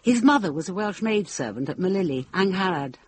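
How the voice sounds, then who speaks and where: A woman's voice: lowish voice, lively intonation, a little fast, close to an everyday voice. Actress doing a sad loyal manor lady, middle aged, genteel, voice moves around a lot, slight hiss behind, radio play. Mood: sorrowful, candid. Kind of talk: British radio drama acting.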